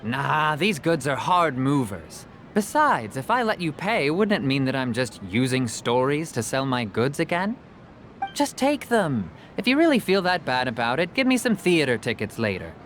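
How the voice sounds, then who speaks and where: A man's voice: medium pitch, sing-song, normal speed, a bit bright, a little hiss or hum. An actor playing a jovial, persuasive, talkative merchant with a theatrical salesman's manner in an English game dub. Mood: breezy, generous. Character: glib, jovial travelling merchant man, a persuasive storyteller.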